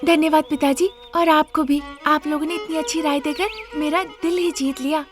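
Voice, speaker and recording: A woman's voice: sits high, fairly even intonation, moderate pace, faint music behind, pitched above the performer's own voice, natural voice. A woman voicing an eager, persuasive young celebrity daughter-in-law in an emphatic, pleading way, in a story. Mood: grateful.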